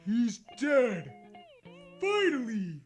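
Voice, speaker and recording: A man voicing a gleefully murderous cartoon starfish, loud and high. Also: wildly swooping pitch, extremely slow, faint music behind, exaggerated voice.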